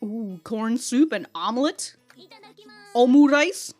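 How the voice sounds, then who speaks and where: A woman's voice: on the high side, expressive, slow, a little mellow. Giggly woman doing a reaction vid, slangy n sweary, voice swings all over, music behind. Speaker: reaction video host. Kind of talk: casual reaction commentary to an anime episode.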